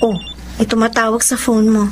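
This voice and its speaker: A woman's voice: a little high, level tone, normal speed, noisy background, natural voice. A woman playing a jealous, insecure young girlfriend in a radio romance drama, speaking emotionally and mixing in English. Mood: casual, curious. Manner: emotional, code-switches into English. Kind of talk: Tagalog radio romance drama acting and narration. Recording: music bed under the voice.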